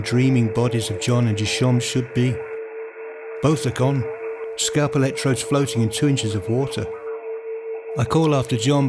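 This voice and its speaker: Man narrating over prominent music. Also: medium pitch. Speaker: narrator of a radio play. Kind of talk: dramatic scripted first-person narration. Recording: music behind the voice.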